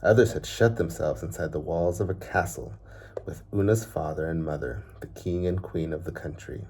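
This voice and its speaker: A man reads a storybook aloud to children, with measured, deliberate phrasing that often trails off softly at the ends of lines. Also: deep voice, breathy edge.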